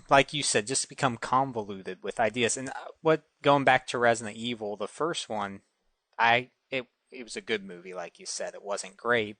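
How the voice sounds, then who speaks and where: A man chatting casually as a podcast co-host in a halting, hedging way. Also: lowish voice, fairly bright tone, dry recording. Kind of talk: casual chat.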